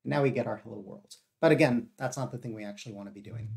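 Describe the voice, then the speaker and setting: A man with a cold and a gravelly voice, thinking aloud as he codes live and explains each step. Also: fairly low pitch, very fast. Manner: steady explaining with frequent uh and self-corrections. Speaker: live coding streamer.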